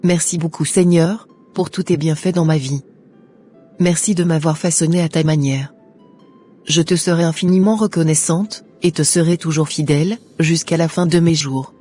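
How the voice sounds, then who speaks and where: A woman's voice: deep voice, steady tone, normal speed, mellow, breathy edge, soft background music. A woman voicing a devout young bride. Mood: grateful. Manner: earnest, prayerful.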